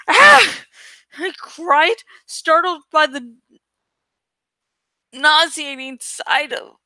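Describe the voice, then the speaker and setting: Slow, high-pitched woman reading a story. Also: sharp tone, no room sound. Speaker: audiobook reader. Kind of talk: expressive reading of a young adult novel in first person.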